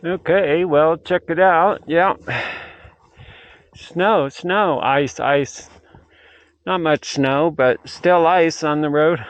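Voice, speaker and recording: A man's voice: a little high, slow pace, long pauses, no echo. A middle-aged man chatting casually outdoors as he vlogs, rambling and musing aloud to himself. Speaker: vlogger and amateur radio operator. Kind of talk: casual outdoor vlog chat. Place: outdoors on a mountain bike trail. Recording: muffled outdoor audio with clear background noise.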